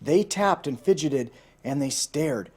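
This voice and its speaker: A man with a somewhat high-pitched voice reads a children's storybook aloud. He tells it expressively and drops into informal asides as a storyteller would. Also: expressive, takes it slowly, darkish tone, husky. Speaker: storybook reader.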